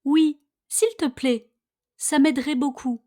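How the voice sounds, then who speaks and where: Very slow, high-pitched woman reading practice dialogue. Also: fairly even intonation, dark tone. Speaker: language teacher. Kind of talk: scripted dialogue read slowly for listen-and-repeat practice.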